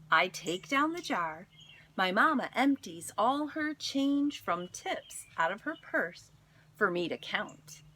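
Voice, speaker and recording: Female teacher for children's read-aloud: animated, slow-paced storyteller, deliberate phrasing, slightly noisy recording. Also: medium pitch. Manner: expressive, deliberate storytelling with dramatic pauses. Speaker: teacher reading aloud to students.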